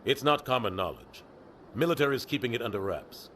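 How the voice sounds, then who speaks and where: A man's voice: medium pitch, animated, fairly quick, a bit bright, a little hiss or hum, lightly acted. World-weary officer for a game, male actor: mid-range voice, clipped, matter-of-fact. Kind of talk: video game character acting.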